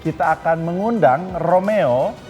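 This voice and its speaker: A man's voice: on the high side, lively intonation, measured pace, dark tone, long reverb tail, soft background music. A man hosting a dating show, playful and teasing with the guests. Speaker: host.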